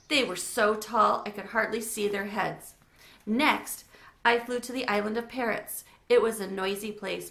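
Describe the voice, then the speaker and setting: A woman's voice: medium pitch, gravelly, a bit bright. A woman reading a children's storybook aloud as narrator. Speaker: read-aloud reader. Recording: echoey room.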